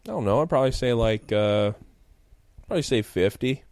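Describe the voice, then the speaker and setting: A man in his late twenties co-hosting a casual chat, joking and animated, with lots of fillers. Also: mid-range voice, fairly quick, clear, resonant tone, dry recording. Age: 20s. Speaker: podcast co-host.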